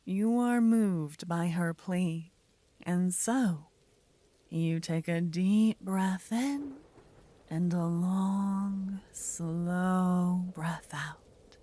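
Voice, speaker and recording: A woman gives scripted second-person fantasy narration for ASMR in a soft, hushed, partly whispered voice, with measured storytelling. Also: lowish voice.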